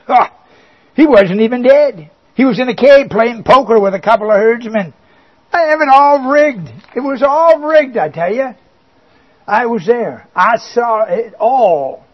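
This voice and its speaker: A sly, boastful devil coaxes and mocks in a high, reedy voice, as a man acts him out. He wheedles, sneers and chuckles at his own jokes. The recording sounds dull and lo-fi. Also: animated, unhurried. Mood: mocking. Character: sly boastful male devil, mocking and coaxing. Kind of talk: comic monologue performance.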